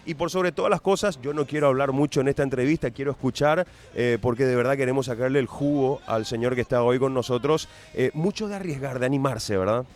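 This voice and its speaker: A man's voice: mid-range voice, fast, hardly any pauses, a little mellow. A man hosting a radio show, introducing his guest with enthusiasm and asking long, wordy questions. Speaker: radio host. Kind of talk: radio interview.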